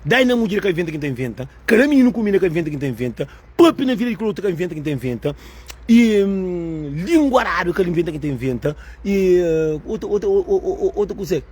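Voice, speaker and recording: A woman with a husky, breathy voice rants to her viewers in a rambling way. She keeps circling back to the same words, talking straight at the camera like a video creator. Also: on the low side, animated, quick pace, darkish tone. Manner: rambling and repetitive. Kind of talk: ranting monologue to viewers.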